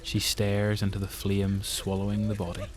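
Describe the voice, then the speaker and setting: A man's voice: lowish voice, monotone, normal speed, faint music behind. A man with a Northern Irish accent reading scripted narration for an audio drama.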